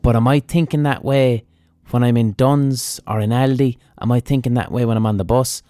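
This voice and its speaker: A man's voice: mid-range voice, fairly even intonation, moderate pace, warm tone, no room sound. A man with an Irish accent hosting a podcast, talking bluntly in a casual monologue, swearing freely and thinking aloud as he goes. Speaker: podcast host.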